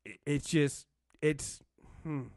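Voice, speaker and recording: A man's voice: a little high, steady tone, slow, no echo, clean studio sound. A man hosting a podcast, chatting casually and giving opinions emphatically, often circling back to repeat his phrases. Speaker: podcast host reviewing a TV show.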